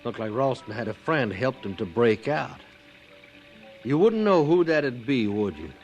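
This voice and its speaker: An American actor playing a gruff, hostile, taunting sheriff in a 1950s radio western. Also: male voice, medium pitch, very animated, measured pace, a little mellow, soft background music. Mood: angry, threatening. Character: hostile, sneering Old West sheriff.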